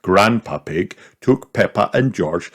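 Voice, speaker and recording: A man narrates a story for small children. His voice is fairly low and very slow, with plenty of lively rise and fall in an expressive read-aloud style. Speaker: storybook reader.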